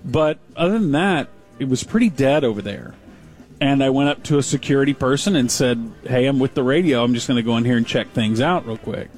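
A man on a radio show telling an animated story and laughing at himself. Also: medium pitch, brisk, soft background music. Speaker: radio host.

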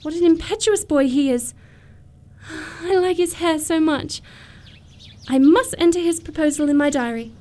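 A woman's voice: high voice, moderate pace, dark tone, natural voice. A woman playing a romantic, self-assured young upper-class lady in a period comedy radio play, precise and sweetly matter-of-fact. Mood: composed.

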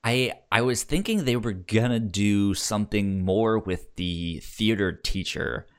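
A man's voice: fairly low pitch, measured pace. A man co-hosting a podcast in casual film discussion, stammering and often repeating sounds and words. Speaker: podcast co-host.

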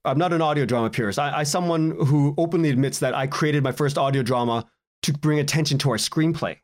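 A man speaking as a podcast guest in casual interview chat, informal and halting as he thinks aloud. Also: on the high side.

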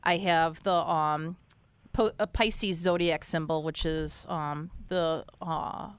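A woman's voice: deep voice, steady tone, slow, smooth, no echo. A woman in her forties chatting on a group podcast, giggly and hesitant, with many ums. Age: 40s. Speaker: podcast co-host. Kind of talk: casual group podcast chat.

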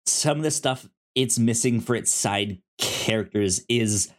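A man co-hosting a casual film discussion, halting and repeating words as he thinks. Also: medium pitch. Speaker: podcast co-host reviewing films.

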